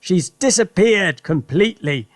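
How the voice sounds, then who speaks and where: A man voicing a gleeful, spiteful husband who shouts with delight in a storybook. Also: high-pitched, lively intonation, a little slow, no room sound, voice pushed up.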